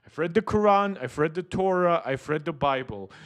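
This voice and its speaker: A man's voice: a little high, expressive, normal speed, a bit bright. A young man from Germany giving rambling, opinionated live-stream commentary, full of restarts and fillers. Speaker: streamer reacting to a tech video.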